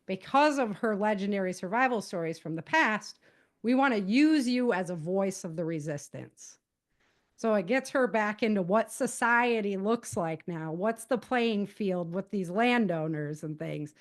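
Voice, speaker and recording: An American woman talks like an author on a podcast, recounting stories in a loose, conversational style. Her delivery is animated and informal, at a middle pitch for a woman. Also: measured pace. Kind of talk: casual spoken summary of own novels.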